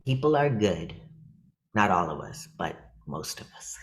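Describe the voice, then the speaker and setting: Deep-voiced guy from california doing a chill workshop talk on a video call, lots of ums and likes. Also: big pitch swings, a little slow, a bit bright. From California, USA. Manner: conversational and reflective, loose with fillers and restarts. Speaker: workshop presenter and comedian. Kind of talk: casual talk to an online workshop.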